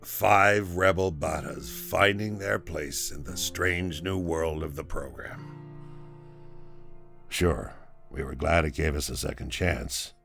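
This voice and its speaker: A man playing a wry, foul-mouthed former butcher and rebel, telling his story conversationally and theatrically in an audio drama, with a low, gravelly voice. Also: slow, fairly bright tone, soft background music, heavily acted. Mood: reflective, wry.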